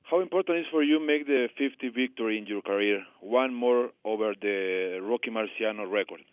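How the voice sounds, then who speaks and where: A man's voice: on the low side, moderate pace, dry recording. A man with a Spanish accent, a sports reporter, politely asking a question over the phone. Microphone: telephone. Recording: narrowband telephone line.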